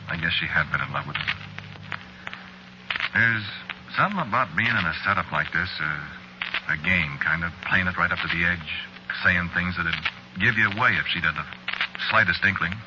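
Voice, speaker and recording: Low-voiced brooding killer, old radio. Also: male voice, lively intonation, normal speed, pauses often, noisy background. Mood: wry, brooding. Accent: American. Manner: colloquial, hesitant, broken-up musing delivery. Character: guilty murderer narrating his crime, brooding, uneasy ordinary fella. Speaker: narrator and lead in a radio suspense play. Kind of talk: old-time radio suspense acting, first-person confessional narration. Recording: dull old-time radio recording. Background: loud music.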